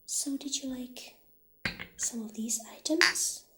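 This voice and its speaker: A woman speaking in a gentle, hushed ASMR roleplay style, partly whispering, as an obliging witch shopkeeper addressing a customer. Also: sits high, slow pace. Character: soft-spoken witch shopkeeper, calm and obliging.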